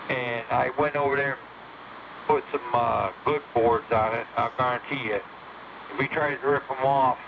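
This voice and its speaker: An American man chatting casually over CB radio in a plain-spoken, halting way, often repeating himself. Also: mid-range voice. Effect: CB single-sideband radio. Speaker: amateur CB radio operator. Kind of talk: casual local-news chat. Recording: muffled lo-fi CB radio, static.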